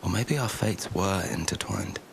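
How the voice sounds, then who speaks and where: An actor playing a grim, weary warrior narrating his own dark journey in a fantasy game, gravelly and brooding in a measured way. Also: sits low, background noise. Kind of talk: scripted first-person game narration.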